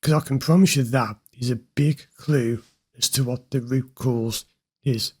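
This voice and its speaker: A husky, slightly hoarse man talking straight to his viewers. He explains what he hears in a client's words, direct and a little amused. His voice sits at an ordinary middle pitch for a man. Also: steady tone, measured pace, darkish tone. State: slightly hoarse from recording many videos. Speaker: host, therapist analysing a recorded session. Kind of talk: commentary over an interview clip.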